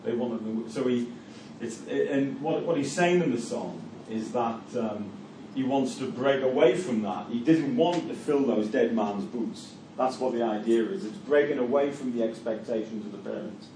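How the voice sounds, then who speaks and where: A middle-aged man with a Geordie accent lectures to a class, his voice dark and mellow. He stumbles and repeats words as he thinks aloud. The mic sits far off in an echoey room with faint noise. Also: medium pitch, moderate pace, hardly any pauses. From Newcastle, England. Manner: hesitant, repeats words, many fillers. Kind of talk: university lecture with class discussion.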